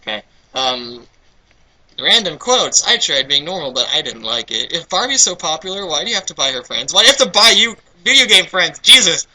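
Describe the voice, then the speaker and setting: A man's voice: fairly high pitch, very animated, brisk, sharp tone, poor audio. A man casually co-hosting a fanfiction reading, reading bits aloud with sarcastic, exasperated, sweary commentary. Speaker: co-host of a dramatic fanfiction reading series.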